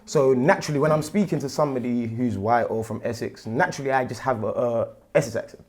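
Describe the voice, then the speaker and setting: A man's voice: medium pitch, fast, a little mellow. A man with a London accent, a panelist in a heated group discussion, who keeps pressing his point and asks if others get what he is saying. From Nigerian family, grew up in England. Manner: insistent, repeats "do you get what I'm saying".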